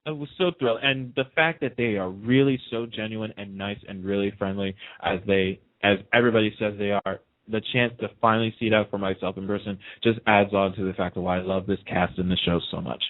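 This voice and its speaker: A man who works as a radio host, chatting casually and telling fan anecdotes in an excited, dramatic way. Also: on the low side, brisk, hardly any pauses, dry recording, narrow, tinny audio.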